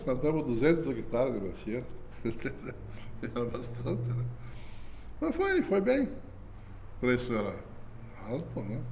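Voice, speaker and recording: An older man reminisces in a casual interview. He rambles in a folksy way, tags his sentences with "né?" and chuckles softly. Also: mid-range voice, a little hiss or hum. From southern Brazil. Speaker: company founder being interviewed.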